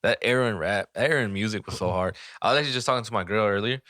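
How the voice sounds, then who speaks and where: A man's voice: fairly low pitch, rapid-fire, a bit bright, no room sound, studio quality. A man chatting casually as a podcast co-host, swearing freely and talking in loose slang. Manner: profane, slangy. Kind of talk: casual chat.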